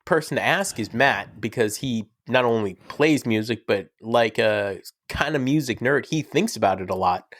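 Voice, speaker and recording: A man's voice: medium pitch, animated, normal speed, hardly any pauses, a bit bright, no echo, studio quality. A young man, sick, talking casually and enthusiastically as a podcast co-host, rambling and teasing his friend. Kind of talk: casual fan chat.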